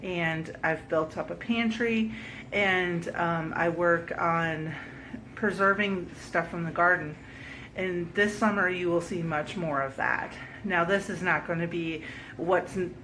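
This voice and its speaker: A woman's voice: on the low side, fairly even intonation, unhurried, a bit bright, slight background noise, low-quality recording. A woman vlogger with a stuffed-up head cold, chatting casually at home through a grocery haul and often correcting herself with ums. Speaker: homesteading vlogger.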